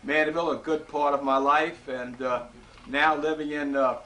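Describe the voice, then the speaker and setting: A man's voice: fairly high pitch, steady tone, normal speed, sharp tone. A man from New Orleans, friendly and a little hesitant as he introduces himself. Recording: distant mic.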